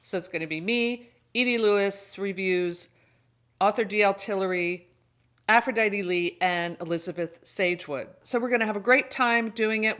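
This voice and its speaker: A woman vlogger chatting casually to her viewers in an expressive, exclamatory way, thinking aloud as she goes. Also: medium pitch. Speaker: host of a horror book channel. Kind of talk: casual chatty unboxing vlog.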